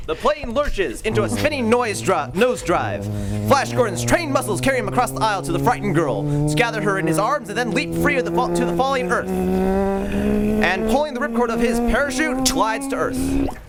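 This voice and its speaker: A man's voice: high-pitched, big pitch swings, normal speed, over loud music. A man narrating a live old-time radio play with dramatic, sweeping storytelling.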